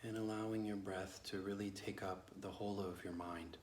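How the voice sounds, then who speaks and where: A man leading a guided meditation as a teacher, speaking gently and slowly with long pauses. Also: sits low, fairly even intonation. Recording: echoey room with faint background noise.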